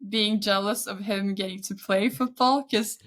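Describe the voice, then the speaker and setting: A woman's voice: medium pitch, fairly even intonation, moderate pace, a bit bright. A woman in her thirties from Sweden with a Swedish accent, chatting casually as a guest in an interview. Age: 30s. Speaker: podcast guest, football agent.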